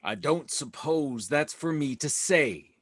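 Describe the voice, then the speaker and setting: A man's voice: on the high side, animated, slow pace, a bit bright, dry recording, studio quality, exaggerated voice. A man playing an arrogant, commanding Marine colonel in a dramatic script reading, haughty and contemptuous, with emphatic delivery. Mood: stubborn.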